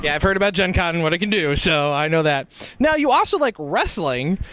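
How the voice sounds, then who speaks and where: A man asks questions in an informal, polite interview style. His voice is high for a man and he talks quickly. There is faint background noise from the location. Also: very animated, fairly bright tone. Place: convention venue.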